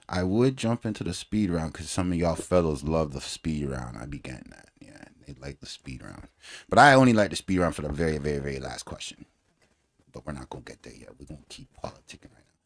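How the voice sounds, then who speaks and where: A man runs a loose, slang-heavy interview. He laughs easily and keeps the talk casual. The sound is dry and close, with no room echo. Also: lowish voice. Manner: laid-back slangy talk, laughs often. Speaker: show host.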